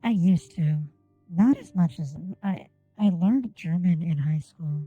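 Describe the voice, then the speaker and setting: A woman whose first language is Japanese, chatting on a game stream and enunciating each word deliberately into a desk microphone. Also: on the low side, expressive, no echo, narrow, tinny audio, soft background music. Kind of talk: casual gameplay stream chat. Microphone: desk microphone on an arm.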